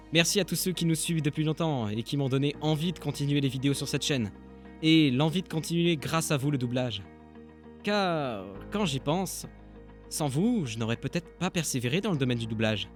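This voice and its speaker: A man's voice: mid-range voice, fairly quick, faint music behind. A man narrating a casual vlog as an amateur dubber, informal and self-deprecating. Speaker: amateur voice dubber and channel creator.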